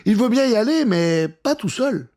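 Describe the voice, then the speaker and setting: A man voicing a clever, eager little bookish boy in a children's audio story, explaining things excitedly. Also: high voice, normal speed, pitched above the performer's own voice. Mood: reluctant but willing.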